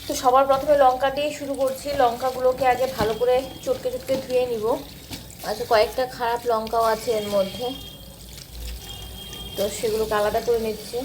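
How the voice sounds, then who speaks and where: A woman narrates her daily household vlog in a casual way. Her voice sits somewhat high and has a warm, mellow tone. A little household noise sits faintly behind her. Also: level tone, brisk. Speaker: homemaker vlogger.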